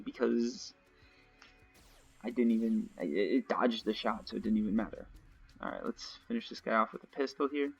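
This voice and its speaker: A young man casually commentating his gameplay nonstop, his voice a little raspy from long talking. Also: mid-range voice. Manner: chatty, rambling, nonstop talk with fillers. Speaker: let's play host. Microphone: home desk mic. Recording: dull lo-fi home mic.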